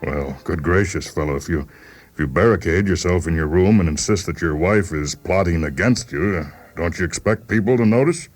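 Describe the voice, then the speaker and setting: An extremely deep-voiced male doctor, acted by a radio reader, humors his patient with chummy, patronizing patience. He hesitates gently as he probes, in a dramatized story with music playing behind him. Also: brisk. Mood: clinical, reasoning. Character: affable male doctor coaxing a stubborn psychiatric patient. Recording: voice over background music.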